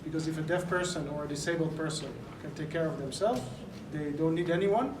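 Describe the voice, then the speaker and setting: A man speaks at a press event and lays out his points earnestly, one step at a time. His voice sits a little high for a man. The sound is roomy and noisy, and the mic is some distance from him. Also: brisk. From Netherlands. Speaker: event organizer. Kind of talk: press conference talk. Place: large indoor venue. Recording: echoey room, mic far off, noisy.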